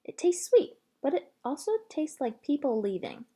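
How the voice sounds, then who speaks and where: Woman playing a folksy old lady, lively pitch. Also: normal speed. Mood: savoring, wistful. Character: gentle elderly woman savoring sweets and stories.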